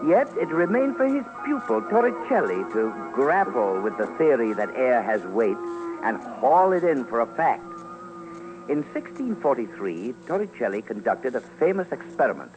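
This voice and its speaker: A man's voice: medium pitch, sing-song, normal speed. A man delivering dramatic, scripted radio documentary commentary, theatrical and playful, addressing listeners directly. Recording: dull vintage radio, loud music behind.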